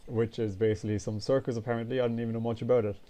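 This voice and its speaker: A man's voice: mid-range voice, racing. A man, congested and sniffly, rambling casually in a vlog. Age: 20s. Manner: rambling, relaxed, casual swearing. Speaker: vlogger. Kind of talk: casual chat.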